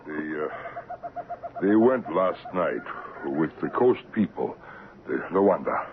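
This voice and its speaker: An actor in a radio drama playing a shrewd, unrepentant African trading post assistant who speaks in measured, terse, simple sentences. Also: male voice, extremely low pitch, lively intonation, full character voice. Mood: deferential, evasive. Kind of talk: old-time radio drama acting. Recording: muffled, noisy vintage radio broadcast.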